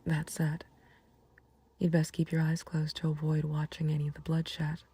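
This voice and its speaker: A woman playing a calm, taunting villain in an intimate roleplay audio, speaking in a breathy near-whisper with unhurried condescension and mock sympathy. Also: low voice, heavily acted. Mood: condescending, coaxing. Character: calm, taunting female villain, menacing yet fond of a friend. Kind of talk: scripted roleplay audio acting, intimate ASMR.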